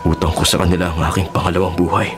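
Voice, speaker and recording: An actor playing an earnest Filipino forest ranger in peril in a radio drama, speaking emphatically. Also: male voice, deep voice, flat delivery, rapid-fire, lightly acted. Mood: relieved, solemn. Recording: dramatic music under voice.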